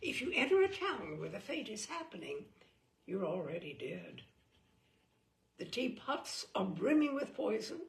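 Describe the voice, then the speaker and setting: A woman reading a humorous book aloud in a theatrical, deadpan way, with dramatic emphasis and pauses. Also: medium pitch. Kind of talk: theatrical read-aloud of dry comic prose.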